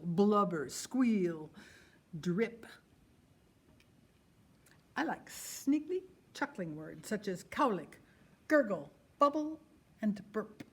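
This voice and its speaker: Slow, expressive woman reading theatrically. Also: mid-range voice. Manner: savours single words, expressive. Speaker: performer reading letters aloud. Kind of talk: theatrical scripted letter reading.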